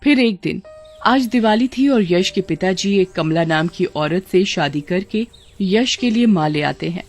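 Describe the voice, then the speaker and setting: A woman's voice: medium pitch, lively intonation, measured pace, faint music behind. A woman giving scripted narration for a children's cartoon story.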